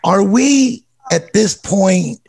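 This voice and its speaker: Man, caller, slow pace, gravelly, dry, hesitant. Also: high-pitched, expressive, darkish tone. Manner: slow and measured, hesitant with many uhs. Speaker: caller, organization president. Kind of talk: call-in question.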